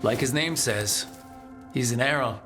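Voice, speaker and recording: A man's voice: mid-range voice, unhurried, music in the background, close to an everyday voice. An actor playing a supportive, casual male hero in a fantasy video game, dry and reassuring. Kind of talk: video game dialogue acting.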